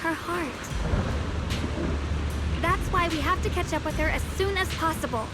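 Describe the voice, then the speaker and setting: A high, youthful sword master, earnest and determined to protect others. Her delivery is solemn and formal, in video game style, with loud music running underneath. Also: normal speed. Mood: earnest, resolute.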